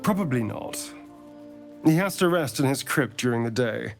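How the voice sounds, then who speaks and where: An actor playing a haunted, sardonic centuries-old vampire spawn in a fantasy game, speaking with bitter wit and dramatic pauses. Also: male voice, medium pitch, expressive, vocal fry, soft background music. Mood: guarded, warning. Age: centuries-old undead. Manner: theatrical, wry, bitter, deliberate with dramatic pauses.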